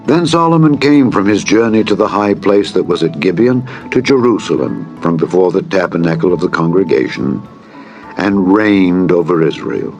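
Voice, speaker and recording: A man narrating scripture as an audiobook in a solemn, measured, formal and reverent way. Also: deep voice, darkish tone. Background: background music.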